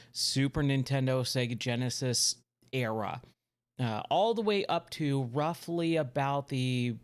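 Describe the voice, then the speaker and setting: A man hosting his own game stream in casual chat, his voice a little hoarse from the work week, upbeat and thinking aloud as the games load. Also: mid-range voice. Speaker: streamer and channel host.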